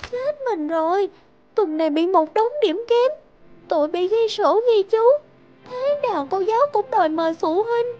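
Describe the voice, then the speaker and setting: A woman playing a sensitive, aggrieved sixteen-year-old schoolgirl in an animated drama, with a plaintive, youthful, emotional delivery. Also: extremely high pitch, normal speed, dull audio. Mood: anxious.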